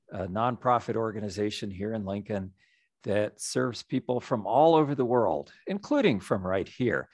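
A middle-aged man, a nonprofit executive director, giving a reflective, measured story-led presentation over a video call. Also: medium pitch, no echo, clean studio sound. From United States.

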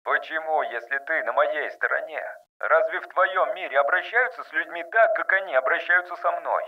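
A man's voice: a little high, lively intonation, normal speed, far from the mic, pitched above the performer's own voice. Man as a bitter outcast over a radio filter. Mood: indignant. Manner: sullen, resentful, curt. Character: bitter, proud male outcast sewage worker. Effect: radio-like communicator filter. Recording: muffled, band-limited radio-like sound.

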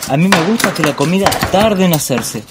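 An actor playing a pompous, bullying master chef and dreamy film snob in a radio play, theatrical. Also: male voice, high-pitched, animated, fairly quick, very noisy. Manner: pompous, digressive, theatrical monologuing.

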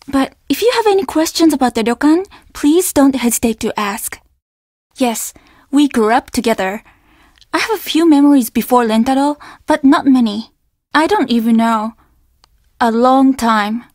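A woman's voice: high-pitched, dry recording, studio quality. A woman voicing an earnest, apologetic young innkeeper at a Japanese inn in a video game, speaking with formal politeness and an undertone of trouble. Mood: polite, businesslike, then wistful, troubled. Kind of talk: video game character dialogue.

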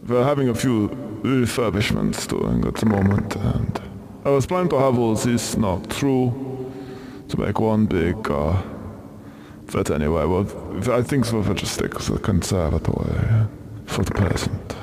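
Deep, slow old vampire count. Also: male voice, big pitch swings, creaky, noisy background, exaggerated voice. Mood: courtly, gloomy. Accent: mock Transylvanian. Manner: theatrical, formal, drawn-out phrasing. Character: old aristocratic vampire count, courtly, gloomy, menacing, touchy. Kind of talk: video game cutscene acting.

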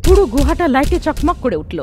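A woman narrates a scripted ghost story. Her voice is high-pitched, and faint music runs behind it. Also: sing-song, fast, mellow.

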